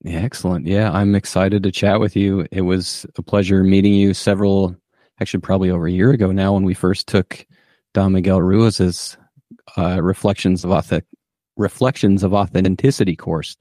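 A man's voice: deep voice, flat delivery, normal speed, mellow, no echo, clean studio sound. A man in his thirties hosting a podcast, chatting casually and rambling a little, repeating his phrases. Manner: rambling, repeats himself, friendly. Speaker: podcast host. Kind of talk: casual interview chat.

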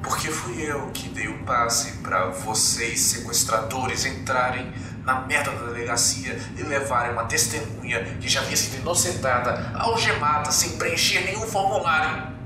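A man's voice: medium pitch, normal speed, distant mic, background noise, close to an everyday voice. An indignant Brazilian policeman, acted out by a man at a game table, profane and demanding. He sounds like a wronged officer, heard a little off the mic in a roomy space. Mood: furious. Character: angry exemplary policeman, new father, feeling betrayed, cursing.

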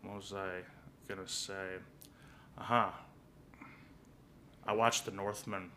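Bright-voiced rambling man on a noisy recording. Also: low voice, flat delivery, slow pace. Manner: crude, rambling, stumbling over phrases. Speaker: comedy podcast co-host. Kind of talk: casual joking podcast chat.

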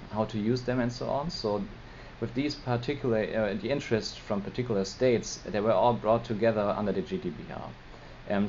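A middle-aged German-accented expert, native in German, dialing into a podcast on a muffled, faintly noisy link to explain things point by point. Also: male voice, lowish voice, darkish tone. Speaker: interviewed data privacy law expert. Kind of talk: explanatory interview answer. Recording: dull lo-fi link, faint noise.

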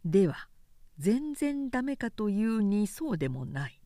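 A woman reading a literary period story aloud as narrator, in a measured, expressive storytelling manner. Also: medium pitch, no echo, clean studio sound. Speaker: narrator, reciter.

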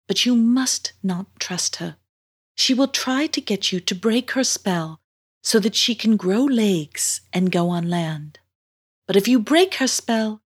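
A woman voicing a gentle, calm mermaid in a storybook, with a soothing manner. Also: mid-range voice, breathy edge.

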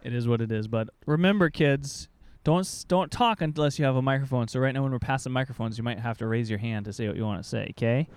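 Thirties dad running a family podcast, patient, asks the kids stuff, smooth clear voice. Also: male voice, medium pitch, fast. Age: 30s. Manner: patient, guiding questions to kids. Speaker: podcast host, father. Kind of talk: casual family podcast chat.

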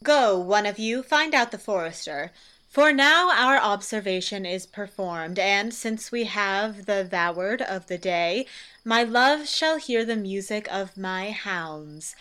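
Woman playing a commanding Athenian ruler, mid-pitched. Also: lively intonation, takes it slowly, bright, forceful tone, smooth. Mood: commanding, gracious. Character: commanding noble ruler of Athens, stately and gracious. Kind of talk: Shakespearean radio drama.

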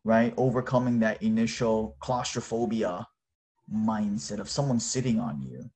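A man's voice: lowish voice, steady tone, moderate pace, darkish tone. A man whose native language is Japanese, chatting casually to his listeners in an informal, exclamatory way. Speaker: host.

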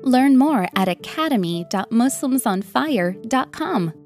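A woman reading a scripted podcast intro and promo in a measured way. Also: on the high side, expressive, darkish tone, soft background music. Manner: slow, measured read. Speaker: podcast announcer.

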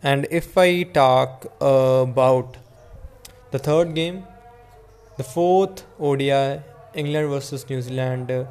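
A man with an Indian accent giving casual solo sports commentary as a podcast host, in a rambling, halting way. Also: medium pitch, darkish tone.

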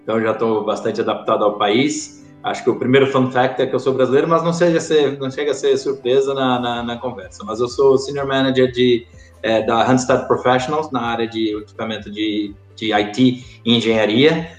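A man with a Brazilian accent, a podcast guest talking casually, often pausing with fillers and restarting his sentences. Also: mid-range voice, fairly even intonation, reverberant, soft background music. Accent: Brazilian Portuguese. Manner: hesitant, many fillers and restarts. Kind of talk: casual interview chat.

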